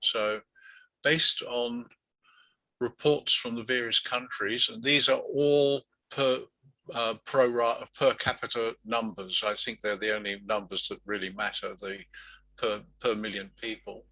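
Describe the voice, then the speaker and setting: A man's voice: mid-range voice, steady tone, unhurried, pushed and bright, no room sound, rough recording. A man hosting an online meeting, walking through statistics and then interviewing a guest, with many fillers and restarts. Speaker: meeting host.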